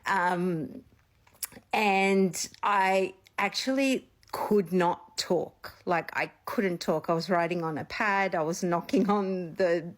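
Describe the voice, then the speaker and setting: Woman, slow unhurried delivery, slight sniffle, chatty rambling vlog talk. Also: on the low side. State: slight sniffle after illness. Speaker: psychic medium and channel host. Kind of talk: casual vlog storytelling.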